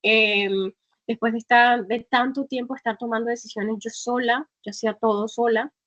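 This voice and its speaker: A woman's voice: on the high side, fairly even intonation, normal speed, a bit bright, muffled recording. A woman guest in an interview, talking reflectively with frequent fillers and restarts. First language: Spanish. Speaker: guest, travel entrepreneur. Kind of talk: conversational interview.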